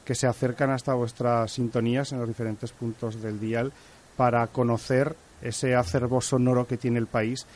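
A man's voice: mid-range voice, darkish tone. A man with an accent from Spain asking long, formal questions in a radio interview. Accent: Spanish, from Spain.

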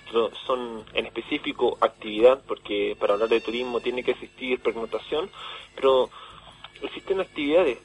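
A Chilean man calling a radio programme by telephone, explaining in a hesitant, halting way. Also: medium pitch, poor audio. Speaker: phone-in guest, event organiser. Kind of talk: informal radio phone interview. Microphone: telephone call.

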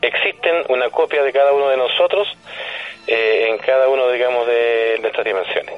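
A man's voice: medium pitch, normal speed. A man with a Chilean accent speaking as a radio guest, explaining things didactically in conversation, with rhetorical questions and checks that he is understood. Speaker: radio guest, paranormal commentator. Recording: dull lo-fi telephone-like radio line.

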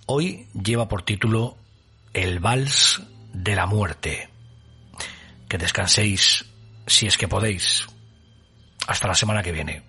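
A man hosting a radio show, reading a scripted reflection in a measured, deliberate way. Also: on the low side, pushed and bright, breathy edge, soft background music. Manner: measured, deliberate pauses between words.

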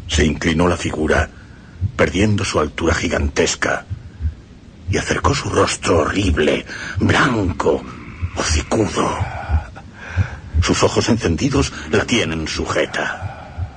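Low-voiced male storyteller, expressive horror narration for radio; faint noise in the background. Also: slow, long pauses.

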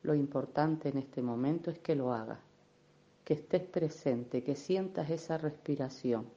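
A woman leading a guided meditation in a gentle, unhurried, soothing manner, with affectionate little diminutives. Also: sits low, highs cut off. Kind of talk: guided meditation, soft instruction.

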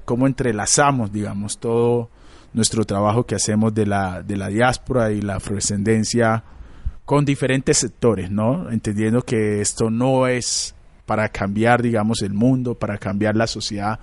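Halting low-voiced Colombian man. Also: fairly even intonation, no room sound. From Colombia, Chocó. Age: late 30s. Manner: thoughtful, halting, many fillers and restarts. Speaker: radio guest, psychologist. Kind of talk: reflective interview answers.

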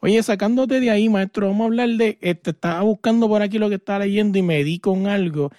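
A man's voice: high-pitched, a little fast, warm tone, no room sound, studio quality. A man hosting an interview, chatty and enthusiastic, clipping his words. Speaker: interviewer, host. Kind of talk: casual interview.

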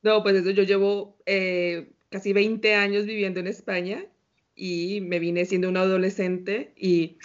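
Brief: Colombian-accented woman co-host, relaxed, explains calmly, lo-fi sound. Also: mid-range voice, measured pace. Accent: Colombian, softened by years in Spain. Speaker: podcast co-host. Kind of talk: casual chat.

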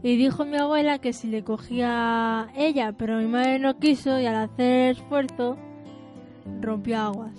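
Chatty woman with a higher voice. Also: steady tone, a little mellow, soft background music. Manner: chatty, chiming in with quick remarks. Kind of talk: casual studio chat.

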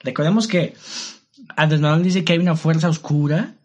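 A man's voice: on the high side, brisk, darkish tone. A young man with a Mexican accent co-hosting a casual discussion, slangy and joking, tossing in tag questions. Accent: Mexican Spanish. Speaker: podcast co-host.

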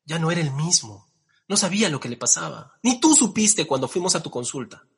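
A man's voice: fairly high pitch, sing-song, fairly quick, creaky, breathy edge, exaggerated voice. An actor playing an anxious, melodramatic man grieving his lost cat, in a radio comedy, whiny and theatrical. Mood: self-pitying.

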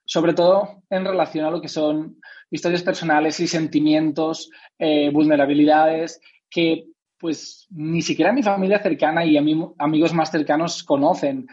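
Dull-sounding young man, higher-pitched voice. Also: fairly even intonation, normal speed, darkish tone, breathy edge. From Spain. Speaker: interviewed author. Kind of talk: reflective interview conversation. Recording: dull, lo-fi audio.

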